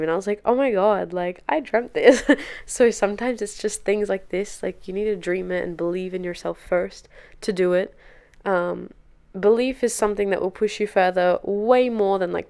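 Young woman with a creaky, clear voice. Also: lowish voice, a little mellow. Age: 20s. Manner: chatty, candid, encouraging. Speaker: podcast host and dance teacher. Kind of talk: casual solo podcast advice talk. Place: living room sofa, indoors.

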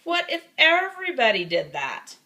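A woman's voice: high voice, huge pitch swings, normal speed, pushed and bright, voice pushed up, heavily acted. A woman voicing stern grown-ups who emphatically scold a naughty child in a storybook.

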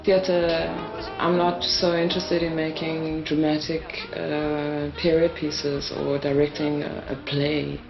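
A woman's voice: low voice, flat delivery, slow, creaky, few pauses. A woman theatre director explaining her project in an interview, thoughtful and a little hesitant. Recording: muffled, in an echoey room with music.

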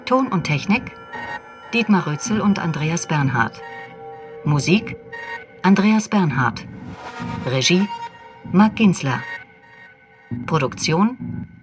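Woman, radio announcer, scripted credits, slow, music behind. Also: lowish voice, lively intonation.

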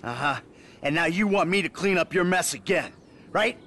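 An actor playing a cynical, fed-up criminal in a video game, wary and needling with sardonic delivery. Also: male voice, a little high, expressive, moderate pace, sharp tone, slight background noise.

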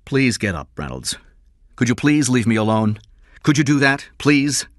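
A man's voice: mid-range voice, big pitch swings, moderate pace, fairly bright tone, dry recording, clean studio sound, pitched above the performer's own voice. A man playing a tense, defensive rich father in an audiobook, strained and hesitant. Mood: weary, irritated.

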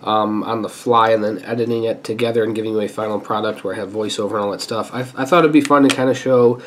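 A man's voice: fairly low pitch, fast, a little hiss or hum. A man who sounds stuffy from a sinus infection talks casually and thinks aloud while he works through a hands-on repair at a workbench. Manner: relaxed and chatty, thinking aloud while working. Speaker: repair channel host. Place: indoor workbench.

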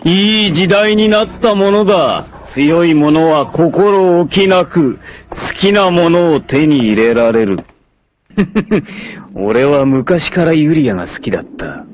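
An actor playing an arrogant, obsessive warlord villain in a 1980s anime, haughty, menacing and theatrical in delivery. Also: male voice, a little high, expressive, slow, muffled recording, a little hiss or hum. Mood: gloating arrogance. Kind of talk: dramatic 1980s anime voice acting.

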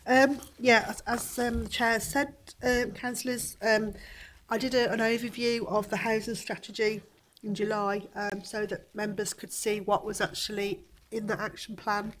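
A woman's voice: mid-range voice, little pitch movement, normal speed, a bit bright, rough recording. Woman with a cold, council officer, hesitant formal report delivery into a table mic. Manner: hesitant, many ums and restarts. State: has a cold, coughing. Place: large formal council chamber.

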